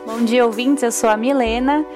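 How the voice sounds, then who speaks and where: A woman in her twenties, the group's mediator, greeting listeners in a brisk and friendly way. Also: on the high side, steady tone, over music. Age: 20s. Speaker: discussion mediator.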